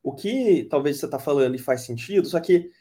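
A man's voice: fairly high pitch, animated, fairly quick, warm tone. Brazilian countryside man, strong retroflex R. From Brazil, countryside interior. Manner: reflective, storytelling, hesitant restarts. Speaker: podcast guest, UX designer. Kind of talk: casual podcast discussion.

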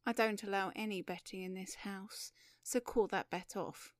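A woman's voice: mid-range voice, steady tone, moderate pace, no room sound, studio quality, close to an everyday voice. A woman voicing a bossy mother with revolvers in a story, giving harsh, clipped commands. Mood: stern, commanding.

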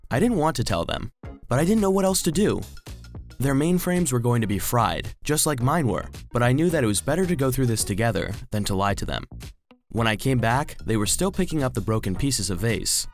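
A man playing an earnest, anxious robot vacuum cleaner who narrates a children's audio drama in an expressive storytelling manner. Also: mid-range voice, brisk, soft background music. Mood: wistful, worried.

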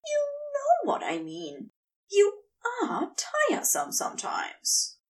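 A woman's voice: extremely high pitch, wildly swooping pitch, slow, whispered, distant mic, voice pushed up, full character voice. A woman voicing a prim, resolute male immortal in a storybook reading, formal and polite yet theatrically affronted. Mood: exasperated.

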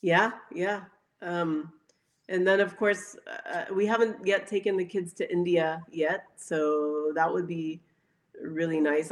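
A woman speaking as an interview guest in casual chat, pausing often and letting phrases trail off. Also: sits low.

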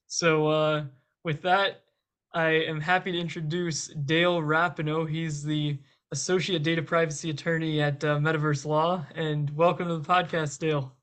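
A man's voice: a little high, level tone, normal speed, fairly bright tone, clear, resonant tone. A man hosting a podcast, reading an introduction and prompting his guest loosely with fillers. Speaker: podcast host. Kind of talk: interview host.

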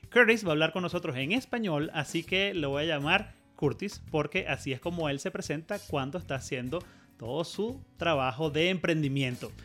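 A man hosting an interview podcast, friendly and engaged, asking questions and reacting quickly. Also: fairly high pitch, fairly bright tone. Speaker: podcast host.

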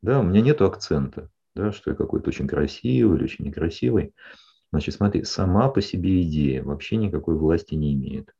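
A man with a deep, warm and husky voice counsels a client in a steady, explanatory way. He keeps pausing to check that she follows. The sound is a little dull and lo-fi. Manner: calm, explanatory, often checks in with "да?". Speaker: psychologist. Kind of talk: counseling session.

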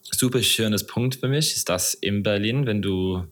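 Low-voiced Englishman, halting non-native speaker. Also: fairly bright tone. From England. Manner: halting, non-native phrasing with frequent searching for words. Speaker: podcast guest. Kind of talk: casual interview chat.

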